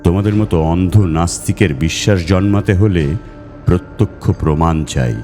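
A man's voice: deep voice, normal speed, dark tone, lightly acted. A man voicing a tall-tale teller's letter in a story reading, declamatory and persuasive. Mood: challenging. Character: tall-tale-telling man writing a challenging, inviting letter. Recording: long reverb over music.

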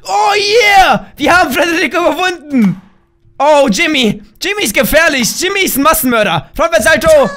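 A hoarse young man in his twenties, loudly and playfully commentating a game from a small room at home, talking into a desk mic while wearing a headset. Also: extremely high pitch, animated, normal speed. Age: young adult. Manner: loud, shouty, laughing, playful exclamations. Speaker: gaming YouTuber. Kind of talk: excited gameplay commentary.

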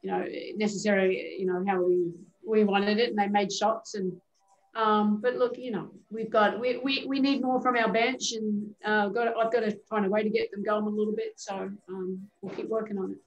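A middle-aged woman, a head coach, speaks candidly over a webcam video call from an indoor media room. Her talk is measured and loose, full of small fillers and restarts. Her voice carries a noticeable echo off the room's walls. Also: medium pitch, fairly even intonation, muffled recording. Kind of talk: postgame press conference answers. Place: indoor media room before a team backdrop. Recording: echoey room sound.

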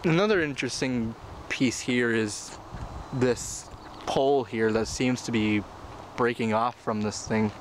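A man's voice: mid-range voice, measured pace. Rambling young man filming outdoors. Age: young adult. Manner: rambling, chatty, laughs often. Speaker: vlogger. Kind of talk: casual exploring vlog. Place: outdoors on an abandoned tennis court. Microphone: handheld camera mic. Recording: outdoor camera audio with background noise.

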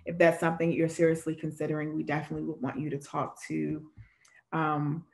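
Low, level-voiced woman moderating a webinar. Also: fairly quick, creaky. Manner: steady, explanatory, relays questions from the chat. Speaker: admissions moderator of an online Q&A. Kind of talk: informational webinar Q&A. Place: home room with framed pictures.

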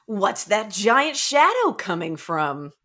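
A woman's voice: medium pitch, big pitch swings, takes it slowly, a bit bright, full character voice. A woman voicing a brusque, sardonic female dungeon master with dramatic announcements and dry retorts. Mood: ominous, dry.

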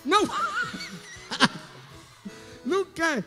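High-pitched Brazilian man hosting in a hall. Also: animated, extremely slow. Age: middle-aged. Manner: teasing, boisterous, repeats himself. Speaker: TV variety show host. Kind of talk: playful on-stage interview banter. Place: large indoor TV studio stage. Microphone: handheld microphone. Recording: echoey TV studio hall, music.

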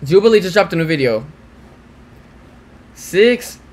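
Chatty young streamer, lively pitch. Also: male voice, measured pace, a little hiss or hum. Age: young adult. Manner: chatty, talks to viewers, trails off and restarts. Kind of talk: live reaction stream, casual chat. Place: small cluttered room with green screen.

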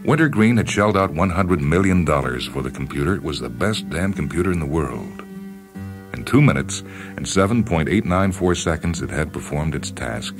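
Really low voiced guy reading a story dramatically, wry and deliberate, pitch swings around, music behind. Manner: deliberate, wry, theatrical phrasing with pauses. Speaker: radio short story reader. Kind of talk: dramatic scripted story narration over music. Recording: music bed under narration. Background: background music with guitar.

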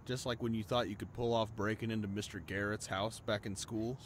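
A man's voice: fairly low pitch, fairly even intonation, a little fast, a little hiss or hum, natural voice. A man playing a defiant young tough in an audio drama, sarcastic and cutting as he goads a rival. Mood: goading. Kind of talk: audio roleplay acting.

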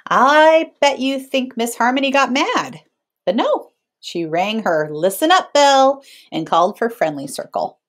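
A woman in her thirties reading a picture book aloud to children in an expressive, teacherly way. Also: on the high side, a little slow. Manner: teacherly, checks in with listeners.

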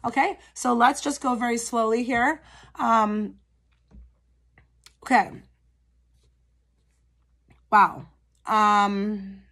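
Slightly hoarse chatty woman tarot reader. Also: a little high, fairly bright tone. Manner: chatty, frequent "okay?" check-ins with viewers. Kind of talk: pick-a-card tarot reading to camera.

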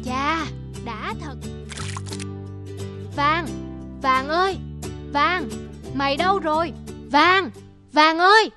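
Kindly old farmer guy, kinda high, music behind. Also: takes it slowly, long pauses. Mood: curious. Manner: gentle, fond toward his dog. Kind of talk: cartoon voice acting. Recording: music playing underneath.

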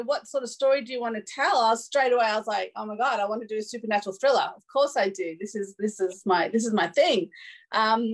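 A woman's voice: a little high, fairly bright tone, low-quality recording. A woman, a filmmaker telling a story on a panel through a video call from home, often laughing. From Australia. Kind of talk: casual panel talk over video call. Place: room at home with curtains. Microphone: laptop webcam on video call.

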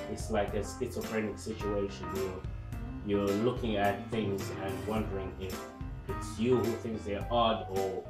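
A man in his thirties from Zimbabwe, a performance artist who speaks to the camera about his work in a calm, reflective way, with many fillers and restarts. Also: lowish voice. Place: indoor room with paintings on the wall. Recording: roomy, somewhat distant sound with faint music.

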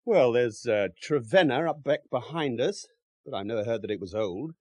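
An unhurried country local in a 1970s radio play. He is husky and matter-of-fact, yet his pitch moves a lot as he dryly discourages. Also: expressive, darkish tone, no room sound. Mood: dry, discouraging. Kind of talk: 1970s radio drama acting.

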